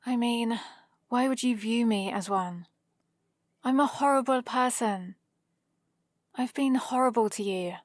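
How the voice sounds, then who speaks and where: A female supervillain played by a woman with a British accent and a fairly high voice. She speaks very slowly, hesitant and defensive, with a soft exasperation that betrays her care for the hero. It is an ASMR roleplay, recorded dry with no room sound. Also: no echo, close to an everyday voice. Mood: vulnerable.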